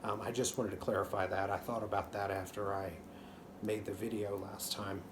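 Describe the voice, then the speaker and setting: A man in his thirties from Texas with a faint Texan accent and a slightly hoarse voice, chatting casually to camera at home while knitting, full of ums and restarts. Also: lowish voice, little pitch movement, normal speed, background noise. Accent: Texan, mostly masked. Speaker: knitting channel host. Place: living room couch at home.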